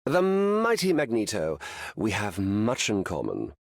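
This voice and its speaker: An actor playing a lofty, godlike warrior in a video game, with theatrical, formal delivery. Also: male voice, on the low side, wildly swooping pitch, measured pace, vocal fry, dry recording, studio quality, full character voice.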